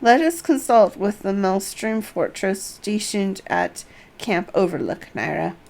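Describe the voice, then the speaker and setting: A woman's voice: mid-range voice, expressive, a little slow, vocal fry, darkish tone. A woman voicing an earnest, courteous young nobleman from a fantasy game, with formal archaic diction.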